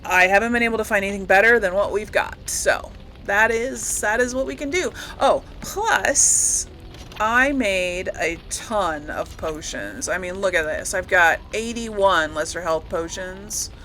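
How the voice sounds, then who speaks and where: Woman, bright forceful voice, casual gaming host, rambling self-corrections, noticeable background noise. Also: medium pitch, measured pace, low-quality recording. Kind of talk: casual chatty game playthrough commentary.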